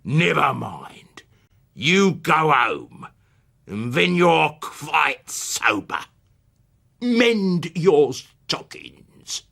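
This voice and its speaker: Man acting a shrill, swooping quarrelsome old London woman. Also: big pitch swings, creaky, sharp tone, full character voice. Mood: scornful. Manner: theatrical, drawn-out, indignant. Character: quarrelsome gossiping old Cockney woman, indignant and scolding.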